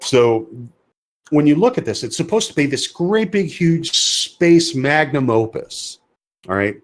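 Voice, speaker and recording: A man's voice: a little high, animated, measured pace, soft background music. A man co-hosting a podcast in casual chat, speaking bluntly and emphatically, often repeating words as he builds a point. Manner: emphatic, repetitive, blunt opinions. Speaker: podcast co-host.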